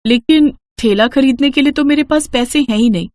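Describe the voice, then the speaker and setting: A woman's voice: high voice, a little fast, darkish tone, a little hiss or hum, pitched above the performer's own voice, close to an everyday voice. A woman voicing a poor, devout, caring village wife and mother in an earnest, pleading way for a story. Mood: worried.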